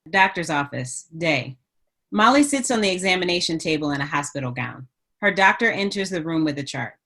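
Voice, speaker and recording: A woman's voice: deep voice, expressive, a little fast, pushed and bright. A woman narrating stage directions at a script table read.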